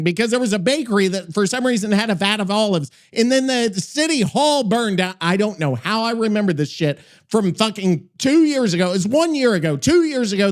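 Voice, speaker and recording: A man hosting a podcast in casual comedic banter, swearing often and sounding incredulous. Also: high-pitched. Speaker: podcast host.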